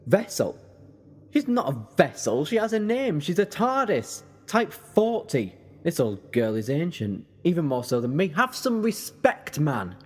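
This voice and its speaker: Audio drama lead: male actor as chatty, cheeky alien time traveller, lightish pitch, very expressive delivery. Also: measured pace, slightly rough, exaggerated voice. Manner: chatty, animated, flippant. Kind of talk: fan sci-fi audio drama acting.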